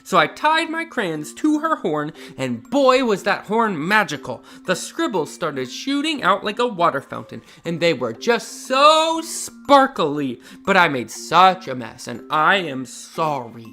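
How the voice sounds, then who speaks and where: Man voicing an animated, wide-eyed young child storyteller. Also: high-pitched, a little slow, few pauses, faint music behind, heavily acted. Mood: breathless confession. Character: excitable young child telling of a scribbling unicorn.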